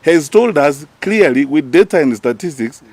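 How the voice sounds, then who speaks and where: A man's voice: on the high side, expressive, moderate pace, darkish tone, breathy edge, no room sound. Kenyan male, party official addressing the press; emphatic, indignant, unscripted political delivery. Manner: emphatic, indignant, rhetorical questioning. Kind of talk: press conference statement, spoken off the cuff.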